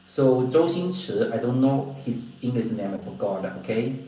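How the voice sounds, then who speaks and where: A man from southern China with a southern Chinese accent, a native Chinese speaker, hosting a casual livestream and replying to viewers, often halting and repeating phrases as he searches for words. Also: mid-range voice, expressive, dark tone. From Guangdong, southern China. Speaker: livestream host. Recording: very echoey room, distant mic.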